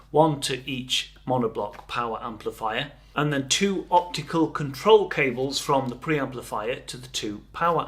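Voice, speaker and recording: A man's voice: medium pitch, fairly bright tone. A man presenting a product overview, moving between scripted technical narration and informal, understated personal opinion. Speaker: hi-fi shop presenter and reviewer.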